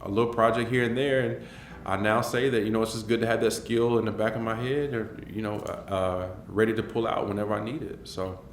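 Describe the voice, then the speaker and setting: A man's voice: fairly low pitch, fairly even intonation, brisk, reverberant, a little hiss or hum. A man in his forties in a filmed interview, telling his life story in an earnest, rambling way with many restarts. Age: 40s.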